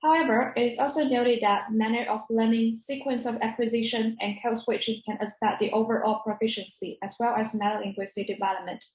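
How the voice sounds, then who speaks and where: A woman's voice: fairly high pitch, fairly even intonation, brisk, hardly any pauses, a little mellow. A woman student presenter reading a prepared academic presentation in a formal manner. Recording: roomy, echoey sound.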